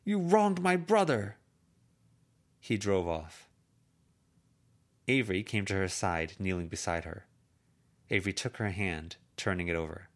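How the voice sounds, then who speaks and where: A man narrating a fan-made audiobook chapter in a calm, even storytelling manner. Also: deep voice, moderate pace, long pauses.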